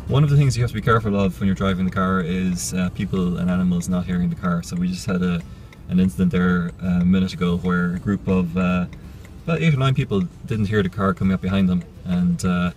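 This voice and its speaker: Deep-voiced man reviewing a car. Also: fast talker, few pauses. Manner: relaxed, plain explaining with fillers. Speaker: tech reviewer. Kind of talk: casual first-person car review. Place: inside a moving car, and outdoors on a city street. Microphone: phone camera held close. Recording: noisy car and street sound.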